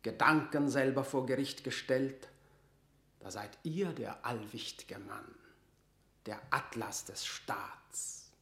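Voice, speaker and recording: A haughty court lord, roomy echo. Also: male voice, medium pitch, slow pace, gravelly, fairly bright tone. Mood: mocking. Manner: formal, haughty declamation. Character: proud, mocking male courtier lord. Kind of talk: classical German radio drama acting.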